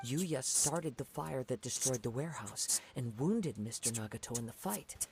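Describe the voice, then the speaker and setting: A calm, thoughtful young samurai. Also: male voice, medium pitch, vocal fry, background noise. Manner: measured, thoughtful, formal phrasing. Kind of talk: video game dialogue.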